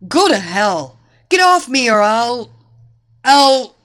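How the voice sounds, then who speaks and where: A woman's voice: medium pitch, lively intonation, extremely slow, a bit bright, heavily acted. A woman voicing a helpless, defeated female wrestler in a story, breathless, sputtering and pleading. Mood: defiant, strained. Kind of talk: dramatised story reading.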